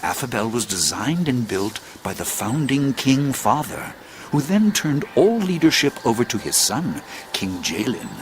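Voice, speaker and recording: An actor playing a wise old sage and former schoolmaster, narrating an audio drama in a stately, deliberate, theatrical way over music. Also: male voice, medium pitch, sing-song, a little hiss or hum. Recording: loud music bed under the voice.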